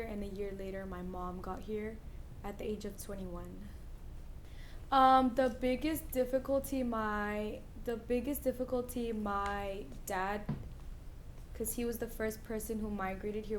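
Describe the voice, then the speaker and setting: A young American woman speaks in an earnest, rambling way, stopping often on ums and restarts. A faint low rumble sits in the background. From United States. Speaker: student interviewee. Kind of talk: documentary interview.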